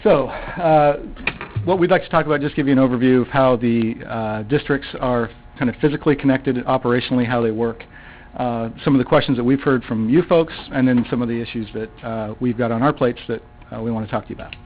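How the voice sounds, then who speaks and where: A soft-spoken man presenting to a city council in a halting way, with many fillers and restarts. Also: medium pitch, steady tone, a little hiss or hum. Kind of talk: public meeting presentation. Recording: phone-like council audio.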